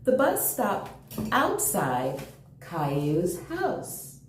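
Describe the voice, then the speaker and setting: A woman's voice: medium pitch, huge pitch swings, very slow, slight background noise. A woman hosting a children's storytime, reading aloud and chatting with young listeners in an enthusiastic, question-filled way. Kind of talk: animated children's read-aloud with chatty asides. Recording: roomy, echoing sound.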